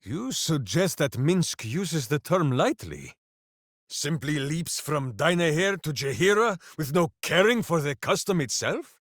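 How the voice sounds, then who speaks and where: An actor playing a big-hearted, boisterous, simple-minded heroic ranger in a video game, declaiming theatrically. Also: male voice, on the high side, very animated, measured pace, a bit bright, no echo, studio quality, heavily acted. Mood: earnest, pondering. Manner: theatrical, declaiming, speaks of himself in third person. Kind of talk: video game character voice lines.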